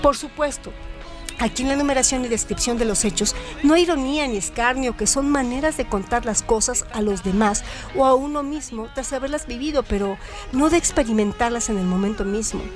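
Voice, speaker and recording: A woman with a noticeably high voice narrates a scripted literary text. Her reading is measured and articulate, delivered as an audio storyteller. Music plays clearly behind her. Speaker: narrator, writer and director of an audio story show. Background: background music.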